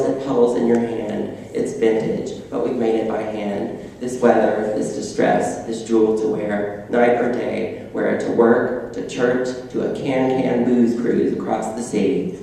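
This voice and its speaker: A man's voice: fairly low pitch, gravelly, mellow, slight background noise. A man reading his poem aloud at a public reading, in a rhythmic, chant-like delivery. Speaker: poet reading his work. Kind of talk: poetry reading. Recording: echoey hall from far off.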